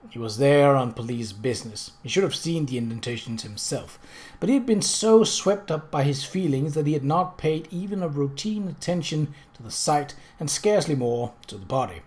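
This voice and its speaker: A man's voice: fairly high pitch, expressive, moderate pace, darkish tone. Man giving a dramatic audiobook reading. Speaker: audiobook reader. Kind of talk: scripted narration of a novel.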